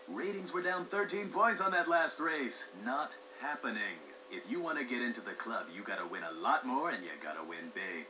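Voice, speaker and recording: A slick, brash sports showman for a racing game, pitched high and swinging widely. The sound is muffled and echoey, with music underneath. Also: noisy background. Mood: displeased. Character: brash, showy male TV race announcer and commissioner. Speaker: race commentator and commissioner. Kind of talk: hyped sports-broadcast commentary.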